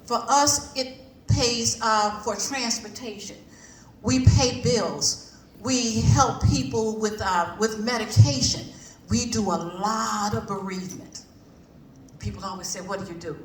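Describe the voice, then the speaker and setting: A woman's voice: on the high side, a little slow. A woman giving an informal, colloquial talk to a group, emphatic and repeating phrases for effect. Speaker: community organisation representative. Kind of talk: informal public address to a group. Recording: echoey room, distant mic, background noise.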